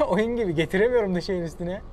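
Guy talking to his camera at a metro ticket machine, kinda high voice, lots of station noise. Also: fairly quick, a little mellow. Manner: chatty, thinking aloud, switching to English with his companion. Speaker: travel vlogger. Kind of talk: casual travel vlog narration. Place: metro station and train, indoors. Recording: noisy public space.

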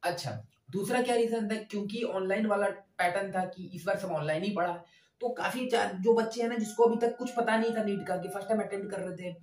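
A man's voice: high-pitched, quick pace, a little mellow. A man giving an informal whiteboard lesson, conversational, repeating his points and often checking in with short tag words. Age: 20s. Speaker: exam coaching teacher. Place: small indoor room at a whiteboard. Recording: roomy echo, distant microphone.

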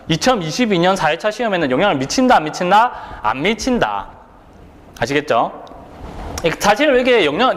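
Lively high-pitched man teaching, reverberant. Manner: asks rhetorical questions and answers them himself; keeps checking in with the listener. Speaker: exam prep instructor. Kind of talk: online lecture.

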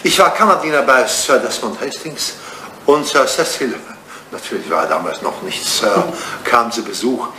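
An actor playing an elderly, devoted English butler in a 1980s German radio drama, speaking formally and deferentially with dry understatement. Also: male voice, medium pitch, expressive, normal speed, pushed and bright. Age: older. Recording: roomy, noisy old radio play recording.

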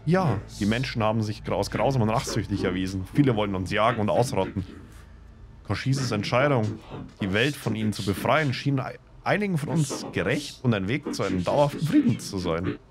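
A man live streaming a game in speech, reading dialogue aloud with stumbles and adding casual comments. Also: fairly low pitch. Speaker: gaming streamer.

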